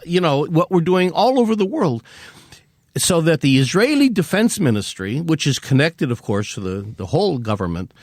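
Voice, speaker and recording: An older man speaking as a podcast guest with a fairly high voice that swings widely in pitch. He presses his points emphatically, stacking repeated words as he builds an argument. The studio sound is dry and close. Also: no room sound. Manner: acts out mock quotes in a raised voice. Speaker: author and activist guest. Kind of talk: podcast interview.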